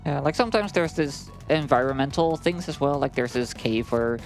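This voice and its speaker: A man adds relaxed, conversational remarks as a gaming co-commentator, feeling his way through sentences with lots of "like". His voice is mid-pitched, and game music plays faintly behind him. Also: moderate pace. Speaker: co-commentator at a speedrun. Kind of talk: casual gameplay commentary. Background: video game music.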